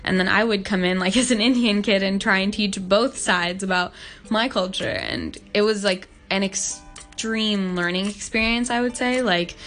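A woman's voice: mid-range voice, fairly even intonation, moderate pace, a bit bright, faint music behind. A nineteen-year-old woman from Hong Kong, a podcast guest answering questions in an earnest, thoughtful way, with many "like" fillers. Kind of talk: casual interview answers.

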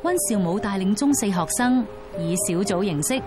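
A woman with a mid-range voice and a Hong Kong Cantonese accent reads scripted documentary narration. Clear background music runs underneath. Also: medium pitch, animated, moderate pace.

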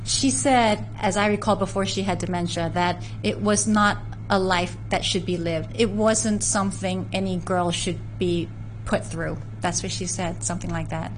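A woman's voice: on the low side, fairly even intonation, normal speed, slight background noise, poor audio. Earnest woman telling family stories. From San Francisco. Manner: earnest, storytelling, with frequent restarts. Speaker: interview guest, author researching family history. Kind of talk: conversational interview.

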